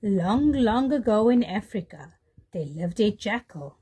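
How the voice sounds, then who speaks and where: A woman teacher reading a children's story aloud in an animated way, addressing young children. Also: mid-range voice, a little slow, breathy edge. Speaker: pre-primary teacher, storyteller.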